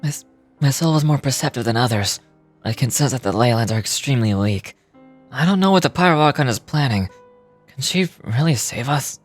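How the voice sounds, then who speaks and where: An actor playing a grave, perceptive warrior in a fantasy video game, speaking solemnly. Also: male voice, medium pitch, animated, a little fast, soft background music, natural voice. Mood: grave, doubtful. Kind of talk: scripted video game dialogue.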